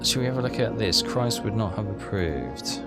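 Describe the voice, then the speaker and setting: Guy doing a game playthrough, kinda low voice, casual, thinking out loud and reading stuff, game music behind him. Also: expressive, moderate pace. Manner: musing, thinking aloud. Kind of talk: casual game commentary, reading text aloud.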